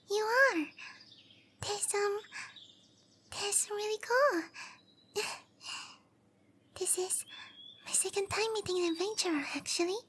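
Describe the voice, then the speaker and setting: A woman voicing a tiny, shy young cowgirl monster girl in an anime-style roleplay ASMR, hesitant and apologetic, with soft, breathy delivery. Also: very high voice, steady tone, slow pace, pauses often, slight background noise, full character voice. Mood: curious, excited.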